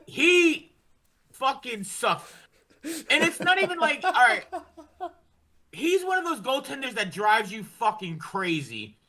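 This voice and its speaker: A man's voice: extremely high pitch, sing-song, slow pace, long pauses, bright, forceful tone. A man hosting a casual sports podcast, ranting in an exasperated way and swearing heavily. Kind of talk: casual hockey fan chat.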